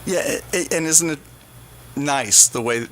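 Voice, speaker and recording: Higher-pitched male radio interviewer. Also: unhurried, fairly bright tone, slight background noise. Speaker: radio host. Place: radio studio.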